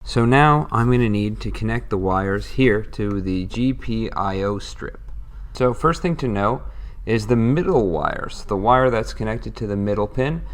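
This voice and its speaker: A man's voice: on the low side, lively intonation, unhurried. A man teaching a step-by-step electronics tutorial, explaining patiently and thinking aloud as he works. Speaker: electronics instructor.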